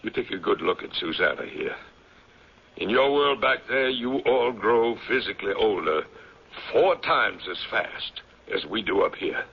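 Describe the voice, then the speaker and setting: A commanding old patriarch in a radio play, low and gravelly. He is grave and deliberate, with an ominous authority. The sound is that of a dull vintage broadcast. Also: animated, heavily acted. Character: stern, commanding old patriarch, captain of a secluded mountain community. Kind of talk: old-time radio drama acting.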